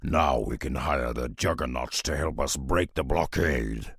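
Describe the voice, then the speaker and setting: A man voicing a grim, loyal half-ogre beastmaster in a fantasy video game, with a gravelly growl and solemn, terse military speech. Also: very deep voice, a little slow, a bit bright, dry recording, studio quality, heavily acted.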